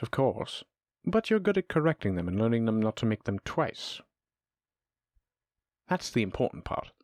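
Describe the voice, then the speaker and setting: A man playing a shrewd, self-assured lamia noble in a roleplay audio drama, speaking in a formal, measured, businesslike way. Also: mid-range voice, no echo, clean studio sound, natural voice. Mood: composed, businesslike.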